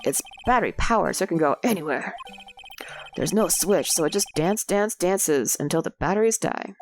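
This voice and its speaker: Woman doing a goofy loyal cop guy, says pal a lot, lowish voice, swoopy, kinda stuffy from a cold. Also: animated, normal speed, faint music behind. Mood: proud. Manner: folksy, calls people pal. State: sick with a cold.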